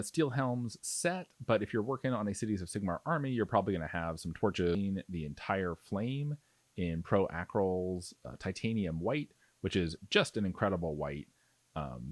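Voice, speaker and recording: A man's voice: low voice, dry recording. A man narrating a hands-on tutorial in a casual, conversational way as the host. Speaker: miniature painting tutorial host.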